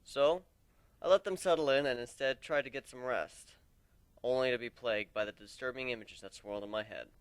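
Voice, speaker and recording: A man giving a dramatic, deliberate first-person narration of a fantasy story as an amateur reader. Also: mid-range voice, smooth, no echo.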